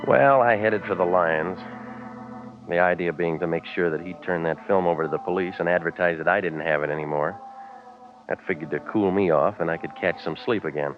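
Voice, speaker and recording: Man playing a low-voiced, deadpan hard-boiled radio detective. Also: a little fast, close to an everyday voice. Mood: wry narrating. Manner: terse, deadpan, sardonic. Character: wisecracking, world-weary hard-boiled male private investigator. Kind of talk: 1940s radio detective drama narration and dialogue. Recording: dull 1940s radio recording, loud music.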